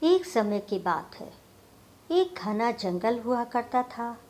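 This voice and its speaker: A woman's voice: on the high side, very animated, slow pace, darkish tone. A woman narrating a scripted moral story for children.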